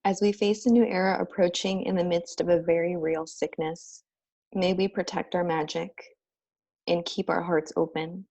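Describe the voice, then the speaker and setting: A woman's voice: lowish voice, fairly even intonation, normal speed, mellow, low-quality recording. A woman giving a heartfelt, reflective speech at a spiritual ordination ceremony. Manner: earnest, reflective, spiritual address. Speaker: ordained minister giving a speech.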